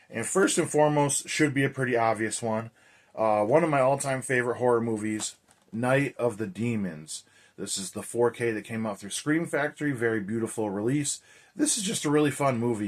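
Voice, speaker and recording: A man talks in a casual vlog about horror films, his voice hoarse and raspy. He is energetic and chatty, rolling along with plenty of "uh" and "you know." His pitch sits in an ordinary middle range. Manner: energetic, chatty, loose with fillers. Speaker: horror movie YouTuber.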